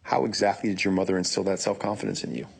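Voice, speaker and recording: A man with a low, husky voice and plenty of creak reads questions aloud. His delivery is friendly, as a guest reader. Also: little pitch movement, fast talker.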